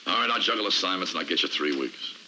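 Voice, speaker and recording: A harried American chief prosecutor who talks fast in a lowish, very forceful voice. He is weary but appeasing, in screen crime drama style, with faint noise behind him. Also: animated, heavily acted, rough recording. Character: harried, placating male boss in prosecutor's office. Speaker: actor playing a senior prosecutor.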